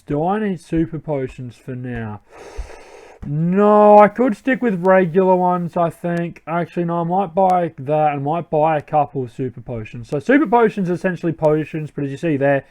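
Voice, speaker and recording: A man's voice: a little high, moderate pace, darkish tone. A young man hosting a let's play, giving casual commentary and thinking aloud with many ums.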